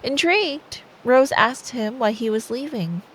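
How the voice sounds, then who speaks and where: A woman's voice: a little high, expressive, measured pace. A woman telling a bedtime story in ASMR style as a girlfriend, sweet and playful, taking her time. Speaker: ASMR performer playing a girlfriend. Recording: faint rain noise behind. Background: soft rain sound effect.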